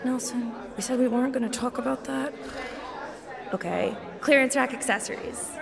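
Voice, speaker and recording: A woman playing a breezy, stubborn young female adventurer in an audio drama, casual and a bit bossy, with a creaky voice. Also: high-pitched, normal speed, long reverb tail, crowd noise. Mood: playful, dismissive.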